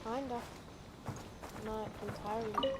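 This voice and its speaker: High-voiced woman game streamer. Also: steady tone, unhurried, very noisy. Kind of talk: casual gameplay commentary. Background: game music.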